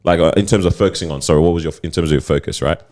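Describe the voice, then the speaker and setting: A man's voice: deep voice, very fast, darkish tone. A man hosting a casual podcast chat, laughing often and teasing his friends. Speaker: podcast host.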